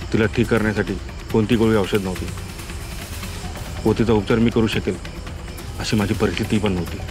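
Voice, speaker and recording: An actor playing a sorrowful old village widower who recounts how he lost his wife, in a heavy, mournful way. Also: natural voice. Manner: low, heavy, mournful telling. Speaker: village man in a short drama. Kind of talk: drama monologue recounting the past. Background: music.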